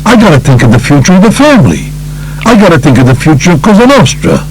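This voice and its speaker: A man's voice: on the high side, sing-song, fast talker, faint music behind, low-quality recording. A man voicing a self-important, blaming mafia boss in an audiobook, with an insistent, grandiose manner.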